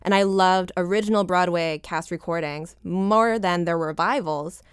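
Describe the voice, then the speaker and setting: A woman with a low, smooth and resonant voice talks casually as a musician being interviewed. She is lightly self-deprecating and jokey in her delivery. The sound is dry and close, with very little room. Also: normal speed, dry recording. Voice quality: lower-pitched voice. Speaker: singer-songwriter guest.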